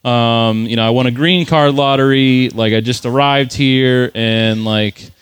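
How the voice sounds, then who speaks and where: A man's voice: mid-range voice, steady tone, measured pace, clean tone, no room sound. A man casually telling a long story, rambling, swearing freely and filling his speech with "like". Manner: rambling, profane, lots of "like" fillers.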